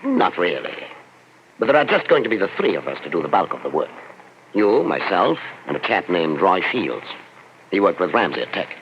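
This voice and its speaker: An easygoing army professor, low lively voice, muffled old radio sound. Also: male voice, normal speed, slight background noise, heavily acted. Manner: easygoing, chuckling. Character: genial older army scientist, professor and major.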